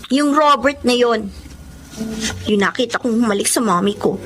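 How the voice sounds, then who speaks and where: A woman's voice: fairly high pitch, moderate pace, over music, natural voice. A woman acting a sulky, stubborn, resentful young daughter in a Filipino drama, speaking in a petulant, emphatic way.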